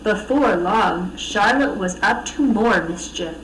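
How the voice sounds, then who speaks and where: A woman reading a children's storybook aloud with expressive narration. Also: medium pitch, takes it slowly, distant mic. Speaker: storybook reader. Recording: echoey room, off mic, faint noise.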